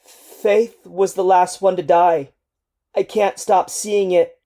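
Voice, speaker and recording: A woman's voice: fairly low pitch, fairly even intonation, slow, dark tone. A woman voicing a grief-stricken young male marine who is crying, his words strained and tumbling out. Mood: anguished.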